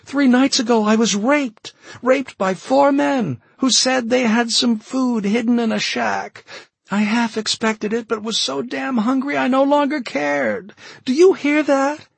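A man's voice: a little high, big pitch swings, moderate pace, husky, no room sound, pitched above the performer's own voice, heavily acted. A man voicing a hungry refugee woman who pleads with a soldier and nears hysteria. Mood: hysterical. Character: desperate, hungry, sobbing young woman refugee.

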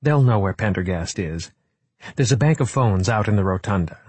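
A man's voice: fairly low pitch, expressive, normal speed, a little mellow, breathy edge, no echo. A man voicing an earnest, determined young female scientist in an audiobook, explaining things seriously. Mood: resolved. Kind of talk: audiobook character acting.

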